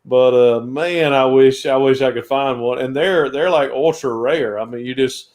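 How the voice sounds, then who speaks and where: A man with a Southern Georgia accent and a plain, middle pitch. He talks in a relaxed way and often chuckles, like a guest on a casual live chat. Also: normal speed.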